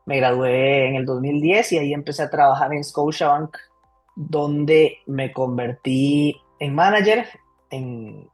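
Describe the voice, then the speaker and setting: Brief: thirties male comedian, guest, easygoing chatty storyteller, mid-pitched. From Costa Rica. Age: 30s. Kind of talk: casual interview storytelling.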